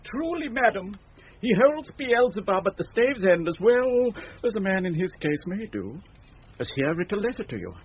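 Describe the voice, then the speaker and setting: An actor playing an impudent, witty jester in a radio Shakespeare comedy, mock-formal and teasing. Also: male voice, sits high, big pitch swings, moderate pace, a little hiss or hum. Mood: cheeky. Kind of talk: 1970s British radio Shakespeare acting. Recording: muffled old radio broadcast recording.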